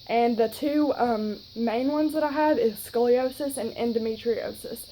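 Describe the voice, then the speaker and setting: A woman's voice: fairly high pitch, a little slow, dark tone, a little hiss or hum, low-quality recording. A woman of twenty with a Southern accent, chatting casually to her phone camera in a rambling way with lots of fillers. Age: 20s. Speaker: vlogger.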